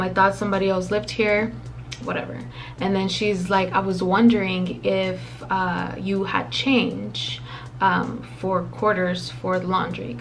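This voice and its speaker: A young woman in her twenties telling a story to her camera in a casual, rambling way. She is profane, leans on lots of fillers, drops in Spanish phrases, and her voice is a little raspy from a dry mouth. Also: medium pitch. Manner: chatty, profane, rambling with many fillers and asides; mixes in occasional Spanish phrases. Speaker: vlogger.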